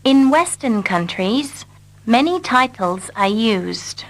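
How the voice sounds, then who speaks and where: A woman repeating short English dialogue lines slowly and carefully for learners. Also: a little high, animated, rough recording. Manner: carefully repeats each line. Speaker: English teacher. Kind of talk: slow scripted reading for learners.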